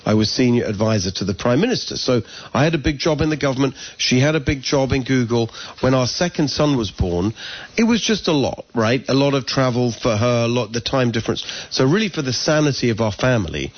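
A middle-aged man with an English accent answers interview questions in an earnest, eager way, often breaking off and restarting his sentences. His voice sits at an ordinary pitch. The recording is a bit dull and lo-fi. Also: animated. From England. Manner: earnest, talks in quick restarts and self-corrections. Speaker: political candidate guest. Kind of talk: conversational interview.

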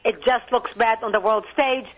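High-voiced emphatic woman from Lebanon. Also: moderate pace, highs cut off. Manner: emphatic, argumentative, rising to outbursts. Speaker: political commentator guest. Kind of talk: call-in radio interview.

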